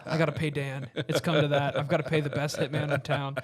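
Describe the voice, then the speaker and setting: Teasing male podcast co-host, slightly high voice. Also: flat delivery, fast talker. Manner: teasing, jokey. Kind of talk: casual group banter.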